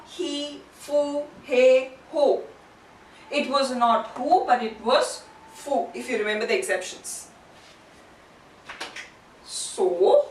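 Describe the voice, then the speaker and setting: A woman teaching a language lesson in a didactic way, posing questions and answering them herself. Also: fairly high pitch. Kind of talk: instructional lesson, explaining and drilling sounds. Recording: roomy echo, distant mic, faint noise.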